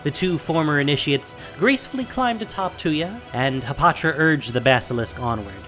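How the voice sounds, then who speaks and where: Animated male fantasy narrator. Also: fairly high pitch, moderate pace, faint music behind. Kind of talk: scripted dramatic fantasy narration.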